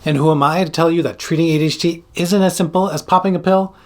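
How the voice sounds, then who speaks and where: A man's voice: fairly high pitch, steady tone, quick pace. A man speaking as a psychiatrist and host, presenting a scripted educational explainer with emphatic, direct address to the listener.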